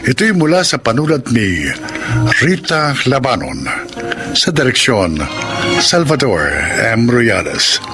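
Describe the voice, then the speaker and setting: A man's voice: mid-range voice, sing-song, takes it slowly, music in the background. A man as a radio drama announcer, reading credits and introductions in a dramatic scripted style.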